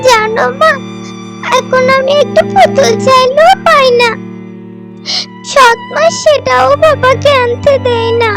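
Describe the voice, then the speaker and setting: A sweet, lonely little girl in a children's fairy-tale cartoon. Her voice is extremely high and childlike, with slow, plaintive, obedient delivery. Loud music runs behind her. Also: heavily acted. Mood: sorrowful.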